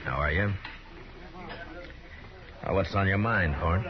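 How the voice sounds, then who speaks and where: Deep-voiced, laconic frontier marshal. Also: male voice. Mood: firm, commanding. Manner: dry, laconic, unhurried authority. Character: calm, commanding frontier town marshal, grown man. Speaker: lead actor. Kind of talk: 1950s radio Western acting. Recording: muffled 1950s radio recording, crowd behind.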